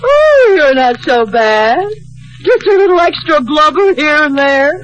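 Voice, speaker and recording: An actress playing an excitable, easily led American wife and best friend in exaggerated 1950s radio sitcom style. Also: female voice, sits high, unhurried, poor audio. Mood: gossipy. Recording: old radio recording.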